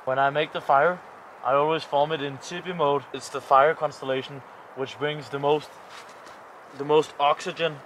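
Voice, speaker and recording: A man with a Danish accent chatting casually to camera as an outdoor vlogger, in short, plain, halting phrases, recorded outside. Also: a little high, fairly even intonation, fairly bright tone, a little hiss or hum, poor audio. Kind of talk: casual chat narrating camping tasks to camera. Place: outdoors at a winter campsite.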